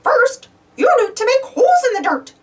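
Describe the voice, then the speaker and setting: A cheerful little male cartoon mouse acted by a woman, eager to help and encourage. The voice leaps high with very lively swoops and sits slightly distant from the mic, storybook style. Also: moderate pace. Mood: cheerful, encouraging. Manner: bright, encouraging exclamations.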